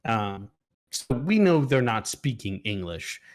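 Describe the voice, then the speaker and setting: A man co-hosting a movie review, talking casually in a halting, rambling way with swearing. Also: fairly low pitch, very animated. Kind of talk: casual film discussion.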